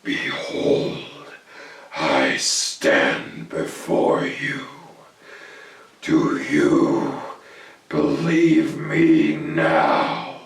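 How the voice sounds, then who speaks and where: A man voicing a fearsome, huge goblin king with a slow, booming, drawn-out menace in a storybook tale. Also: huge pitch swings, gravelly, heavily acted. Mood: menacing. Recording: roomy, echoing, distant mic.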